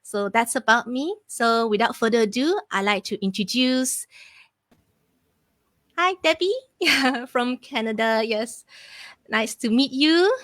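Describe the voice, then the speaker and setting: A young adult woman hosting a casual live-stream interview, talking in a halting way with filler phrases. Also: fairly high pitch, a bit bright, no echo. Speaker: interview host, online business mentor.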